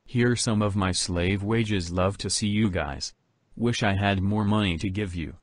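A man's voice: on the low side, unhurried, no echo. A man reading short viewer messages aloud.

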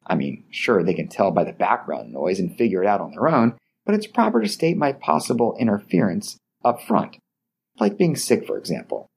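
A middle-aged man hosting a scripted etiquette advice podcast, speaking in a polite, measured way. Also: lowish voice, vocal fry, a little hiss or hum. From Baltimore, Maryland area. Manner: polite, measured, lively delivery. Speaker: podcast host.

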